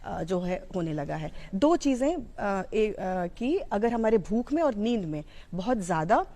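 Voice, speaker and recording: Indian woman doing an informal educational talk, relaxed mellow voice, average pitch, mixes in english words. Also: unhurried. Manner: explanatory, code-mixing Hindi with English terms. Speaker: psychology educator. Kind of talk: informal explanatory talk on mental health.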